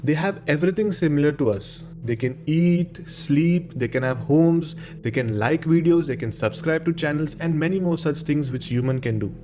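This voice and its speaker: A man's voice: on the high side, normal speed, hardly any pauses, dark tone, a little hiss or hum. Indian-accented man hosting a horror show. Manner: repeats words and phrases. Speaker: host of a horror radio show. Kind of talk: informal show hosting and storytelling.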